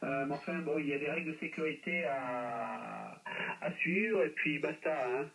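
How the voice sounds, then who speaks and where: A man chatting casually as a radio operator, pausing often and tagging his phrases, heard through a radio transmission. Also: fairly high pitch, a bit bright. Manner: hesitant phrasing with constant "hein" tags. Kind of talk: casual radio chat about a hobby. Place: playback from speakers captured by a phone. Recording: radio reception re-recorded off speakers, distant.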